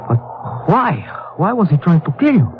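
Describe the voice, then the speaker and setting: Radio drama companion: earnest, bewildered, higher-pitched, formal and stilted, on a dull vintage recording. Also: male voice, big pitch swings, normal speed. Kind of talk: old-time radio drama acting. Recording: noisy, muffled old radio recording.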